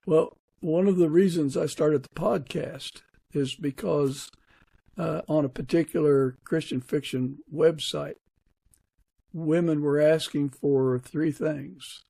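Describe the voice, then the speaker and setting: A man's voice: fairly high pitch, fairly even intonation, slow pace, gravelly, mellow, slightly rough, no room sound. A man hosting a podcast, talking in a casual interview style. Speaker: podcast host.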